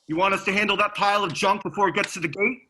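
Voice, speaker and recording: A man playing a brisk, spirited military space pilot with a punchy, animated delivery. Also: high-pitched. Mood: brisk, confident.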